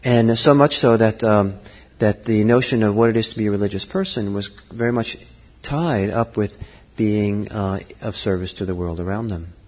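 A middle-aged man giving a Buddhist dharma talk, thoughtful and halting, with frequent fillers and restarts. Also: on the low side, darkish tone. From Norway. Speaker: Buddhist teacher. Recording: long hall reverb.

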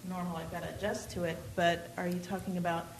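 A woman's voice: on the low side, flat delivery, quick pace, a little hiss or hum. Rambling woman asking in an echoey hall. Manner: rambling, searching for words, many restarts. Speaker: student asking a question. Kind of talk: questions in a meditation class. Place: meditation center hall.

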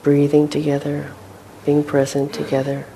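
A woman leading a guided meditation as a teacher, speaking gently and without hurry, with long pauses. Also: completely flat delivery, rough recording. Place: meditation hall with a group. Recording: faint rumbling background noise.

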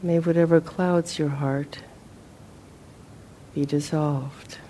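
Slow, mellow woman guiding meditation. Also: low voice, steady tone, slight background noise. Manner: gentle, measured, with long pauses. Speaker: meditation teacher. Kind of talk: guided meditation.

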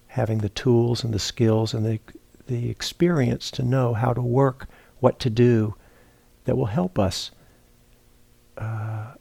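A man leads a guided meditation at a very slow pace. His voice is mellow and relaxed, with some gravelly fry. He talks reflectively and haltingly, often pausing to find the next phrase. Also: on the low side, no echo. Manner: halting, reflective, searching for words with repeats and pauses. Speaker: meditation teacher. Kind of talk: guided meditation talk, unscripted. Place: meditation retreat center, indoors.

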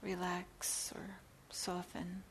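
A woman teaching guided meditation in a soft, breathy near-whisper, gently and with long pauses between phrases. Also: lowish voice, monotone. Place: meditation center. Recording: clear background hiss.

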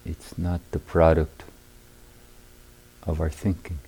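A man guiding a meditation as a teacher, in measured, sparse phrases with long pauses between them. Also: rumbling low, monotone, warm tone, slight background noise, rough recording. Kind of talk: guided meditation instruction. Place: meditation retreat hall.

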